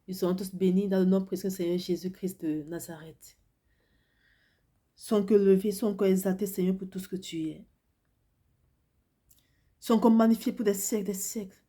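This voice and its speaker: Woman preacher with a head cold, husky soft-edged voice, earnest, keeps repeating and restarting her phrases. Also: medium pitch, fairly even intonation, normal speed, long pauses. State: has a cold and flu, congested. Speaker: preacher, self-described prophetess. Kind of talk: devotional sermon, personal testimony.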